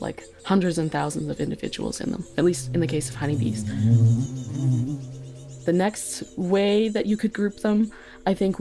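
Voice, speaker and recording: A woman's voice: lowish voice, mellow. A woman, a podcast guest, explaining things in a casual, nerdy conversational way. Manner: self-aware, nerdy explaining. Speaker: podcast guest, insect research technician. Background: background music.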